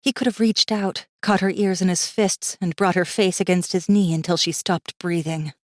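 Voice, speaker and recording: A woman narrating a fantasy audiobook in a measured, suspenseful manner with deliberate pauses. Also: lowish voice, steady tone, dry recording, studio quality. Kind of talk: scripted dramatic fiction narration.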